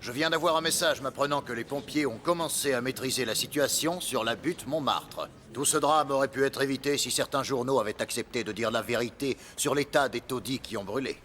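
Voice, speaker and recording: An actor playing a haughty, scheming nineteenth-century baron in a French cartoon, speaking in a formal, theatrical manner. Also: male voice, mid-range voice, a little fast, hardly any pauses, a bit bright, faint music behind, natural voice. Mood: grandiloquent. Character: scheming, pompous baron, smooth villain of 1880s Paris. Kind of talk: French animated series acting.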